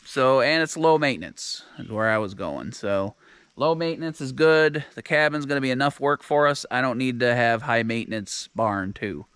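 Bright-voiced man vlogging outdoors. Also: medium pitch, moderate pace, clean tone, dry recording. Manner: relaxed, explains as he works. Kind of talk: casual outdoor vlog. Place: outdoors in a wooded homestead. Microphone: action camera held close.

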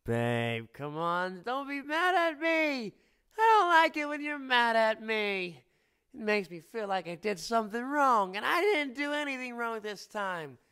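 A man's voice: extremely high pitch, very animated, a little slow, pushed and bright, smooth, exaggerated voice. A man playing a cocky, teasing fire-wielding boyfriend. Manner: teasing, playful, drops to close whispers. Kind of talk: ASMR boyfriend roleplay.

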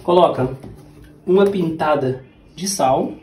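A man's voice: on the high side, takes it slowly, a little mellow. A man in his thirties with a Brazilian accent, chatting and joking in slang as he demonstrates home cooking to camera. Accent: Brazilian Portuguese. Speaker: home cook and vlog host. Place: small home kitchen, indoors. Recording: echoey kitchen, clattering dishes and noise.

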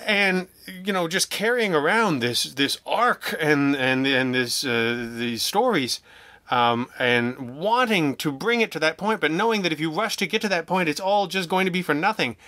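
A man talking casually as an interview guest, telling stories in an animated, jokey, self-deprecating way and acting out remarks he quotes. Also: a little high, normal speed, dry recording. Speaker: guest, audio drama writer and producer.

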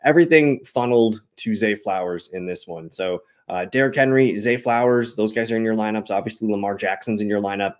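A man's voice: lowish voice, brisk, few pauses. A man in his thirties hosting a sports show, casually analyzing and reading out stats in a matter-of-fact, conversational way. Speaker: fantasy football show host.

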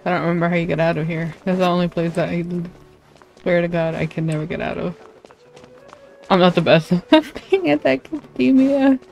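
A woman's voice: fairly low pitch, moderate pace, gravelly, darkish tone. A woman livestreamer giving casual, self-deprecating, grumbling commentary while she plays a game. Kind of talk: casual gaming stream commentary. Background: game music.

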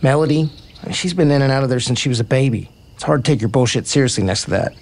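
An actor plays an easygoing male baseball player in a romantic comedy audio drama. He talks frankly and casually in a mid-pitched voice, teasing but kind. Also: rapid-fire, darkish tone, natural voice. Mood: warm, candid.

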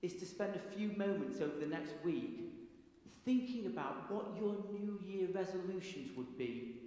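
A middle-aged man preaching a sermon in a large stone church, slow and deliberate with long pauses. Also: sits high, expressive, dark tone. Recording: echoey church with long reverb.

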